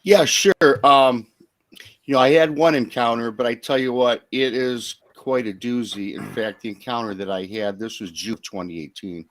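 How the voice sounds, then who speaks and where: A middle-aged man from Michigan giving a conversational personal account as a guest, in a halting, rambling way. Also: medium pitch, no room sound. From Michigan, United States. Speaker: podcast guest, Bigfoot eyewitness.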